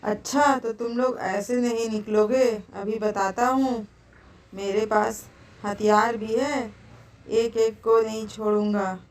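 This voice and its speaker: A woman voicing a menacing, bullying male eagle villain in an animated moral story. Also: a little high, slow pace. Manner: threatening, bullying. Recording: distant mic with faint noise.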